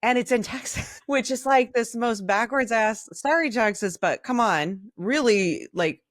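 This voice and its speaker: A woman's voice: fairly high pitch, measured pace, dry recording, studio quality. A woman co-hosting a podcast in casual, candid chat, opinionated and blunt with some mild swearing. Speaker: podcast co-host.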